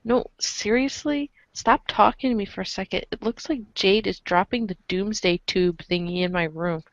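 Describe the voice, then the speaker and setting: A woman voicing a cool teenage boy in an audio readers' theater, slangy and sarcastic with a deadpan tone. The sound is dry and slightly muffled. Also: medium pitch, moderate pace, no echo, lightly acted. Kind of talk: fan readers' theater of a webcomic.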